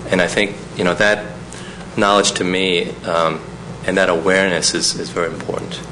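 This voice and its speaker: A man's voice: low voice, fairly even intonation, moderate pace, background noise. Reflective man whose first language is Chinese. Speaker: panelist.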